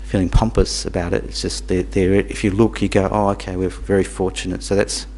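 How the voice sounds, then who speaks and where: Low-voiced man giving a dharma talk. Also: flat delivery, a little mellow. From Tanzania. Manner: halting, repeats words, asks the audience questions. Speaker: Buddhist teacher. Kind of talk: dharma talk to a small group.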